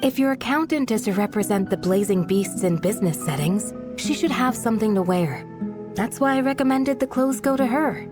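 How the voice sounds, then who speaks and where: A woman playing a modest guardian of a desert village in a video game, speaking in a measured, polite and formal manner. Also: a little high, expressive, over music, close to an everyday voice. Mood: composed, sincere. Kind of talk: video game character dialogue.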